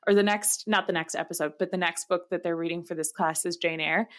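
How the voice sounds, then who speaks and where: A woman co-hosting a podcast in casual conversation, pausing often mid-sentence. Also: lowish voice, steady tone, fairly bright tone. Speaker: podcast co-host.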